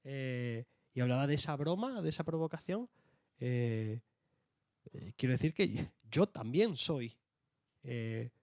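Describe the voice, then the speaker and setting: A man's voice: mid-range voice, lively intonation, slow, darkish tone, no room sound, clean studio sound. A young man from Jaén with an Andalusian accent, speaking as an author on a panel, hesitant with many fillers and restarts. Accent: Andalusian Spanish. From Jaén, Spain. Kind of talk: round-table discussion.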